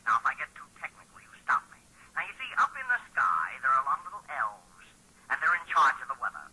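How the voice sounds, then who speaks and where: A teasing, mock-official wisecracker from a 1940s radio comedy. His voice is piercingly bright and swings up and down with hammy glee, over faint noise from an old recording. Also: medium pitch, big pitch swings, fast, poor audio. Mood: jokey, hammy. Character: jokey wisecracking bowling pal posing as weather forecaster.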